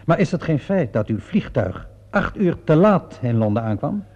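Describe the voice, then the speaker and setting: Relentless police inspector, radio drama, mellow lively voice, deliberate insinuating questioning, muffled vintage recording. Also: male voice, mid-range voice. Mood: methodical, pressing. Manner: insinuating, theatrically deliberate, mock-polite questioning. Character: shrewd, relentless male police inspector cornering a suspect. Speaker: actor playing a police inspector. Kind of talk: radio play acting.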